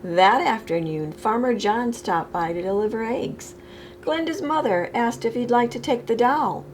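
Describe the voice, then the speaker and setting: A woman reading a children's picture book aloud expressively, with deliberate phrasing, as a storytime reader. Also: fairly high pitch, a little hiss or hum.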